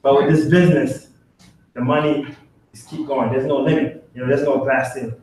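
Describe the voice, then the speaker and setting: A man's voice: medium pitch, steady tone, fairly quick, darkish tone, a little hiss or hum. A young man, a grad student, speaking animatedly at a business meeting, laughing and often asking "right?". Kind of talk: testimonial talk at a business meeting. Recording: echoey hall from far off.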